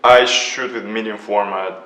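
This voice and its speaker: A man from Ukraine, a photographer, answering interview questions in a soft, halting way with frequent restarts. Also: lowish voice, steady tone, slight background noise. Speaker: guest photographer. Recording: echoey room, mic from a distance.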